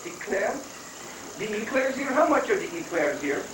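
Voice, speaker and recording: Guy acting as a high voiced foreign guru, cheery n wordy, mock holy, comic play reading, echoey noisy old tape. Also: big pitch swings, normal speed. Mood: playfully obtuse. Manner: rambling, wordy, mock-pious with foreign phrasing. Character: flamboyant wandering foreign guru, rock-obsessed, evasive and cheerful. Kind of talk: comic dramatic stage reading. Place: echoey room, mic at a distance. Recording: noisy 1980s live recording, echoey, distant mic.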